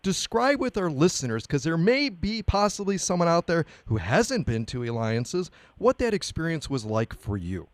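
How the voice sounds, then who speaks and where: High-pitched man hosting radio. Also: very animated, moderate pace, hardly any pauses, no echo. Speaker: radio show host, interviewer.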